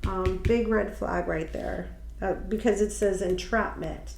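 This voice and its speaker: A woman with a slightly nasal, stuffy voice talks through a tarot reading in a casual, musing way. She speaks very slowly and trails off with ums and restarts. The room sounds echoey, with a faint hiss behind her. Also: mid-range voice, gravelly, darkish tone. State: stuffy from allergies. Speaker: tarot reader.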